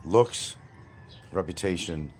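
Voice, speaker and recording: A man's voice: sits low, slow, a little mellow, a little hiss or hum. A man hosting a rambling livestream outdoors by a field, answering comments in a blunt, combative way. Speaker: livestream host.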